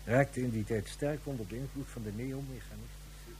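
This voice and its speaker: A man acting in a radio play as an authoritative psychiatrist and director, formal, deliberate and pensive. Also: mid-range voice, fairly even intonation, darkish tone, a little hiss or hum, lightly acted, rough recording. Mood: calm, measured. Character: measured, authoritative male psychiatrist and director, sceptical but fair.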